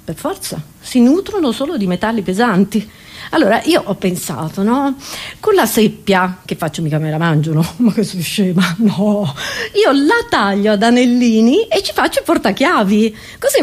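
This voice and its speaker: This is a woman with a fairly high voice performing comedy. She talks conversationally but theatrically, like a stage monologuist. She keeps breaking off her own sentences and throwing out rhetorical questions in a satirical routine. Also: big pitch swings, normal speed, slightly rough. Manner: theatrical, self-interrupting, asking rhetorical questions.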